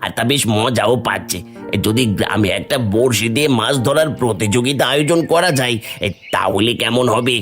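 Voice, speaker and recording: A man's voice: medium pitch, animated, brisk, a bit bright, faint music behind. Casting: male actor for landlord's dutiful steward and village crier, cartoon story, declamatory, musical backing. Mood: obliging. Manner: deferential, declamatory. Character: obliging, deferential landlord's steward and village announcer. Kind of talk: Bengali cartoon story acting.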